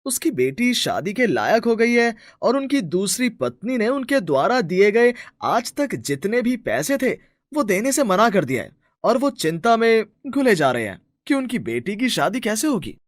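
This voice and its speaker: Male actor, dutiful factory manager, high pitch, respectful, explanatory, dry close sound, moral-story cartoon. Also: very animated, normal speed, dry recording. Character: dutiful factory manager reporting to his boss.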